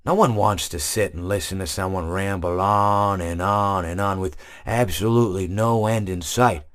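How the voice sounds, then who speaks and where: An actor playing a gentle, absent-minded old male hippopotamus animatronic who rambles and stammers through wistful stories, in video game voice lines. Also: deep voice, fairly even intonation, normal speed. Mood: rambling.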